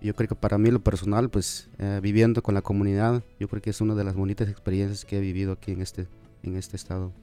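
A man from Guatemala giving a hesitant missionary testimony, halting with many filler words. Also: fairly low pitch, little pitch movement, mellow, clear, resonant tone, soft background music.

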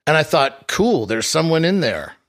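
A man in his forties from the Boston area, a radio DJ, telling stories in a dry, self-deprecating way with halting restarts. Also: on the high side, sing-song, a bit bright. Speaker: radio DJ guest. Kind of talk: casual interview chat.

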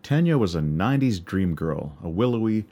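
A man with a baritone voice narrating a personal story in a wry, measured way. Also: big pitch swings, mellow. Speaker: podcast narrator and musician.

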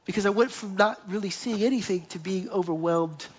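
A man's voice: high voice, brisk. An earnest man preaching to a church gathering, stacking up lists and repeating phrases as he drives each point home. The sound is a little dull and lo-fi, as from a live stream. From United States. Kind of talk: sermon.

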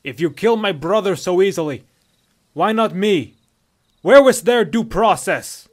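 A husky Nordic bandit played by a male reader, captive and defiant. He declaims with loud, bitter indignation, his pitch raised, in the manner of a fantasy audiobook villain's victim. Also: expressive, a little slow. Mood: furious, bitter.

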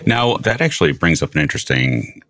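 A man hosting a conversational interview, phrasing his points in a measured, somewhat formal way. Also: a bass voice, very animated, fairly bright tone, dry recording. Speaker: interview show host.

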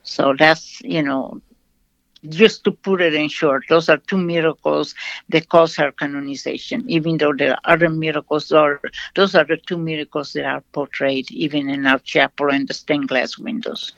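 A woman from Brazil with a Brazilian accent, a nun answering interview questions with stories, in a halting way that repeats phrases. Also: low voice, fairly even intonation, gravelly, fairly bright tone, dry recording. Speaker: nun, shrine representative. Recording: dull lo-fi sound, dry.

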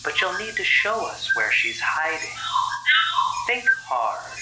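Echoing sinister spirit, loud music behind, slow falsely soothing coaxing, wildly swooping pitch, audio drama villain. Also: very animated. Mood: coaxing, menacing. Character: malevolent supernatural entity, coaxing, menacing, hunting a hidden queen. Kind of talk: found-footage audio drama acting.

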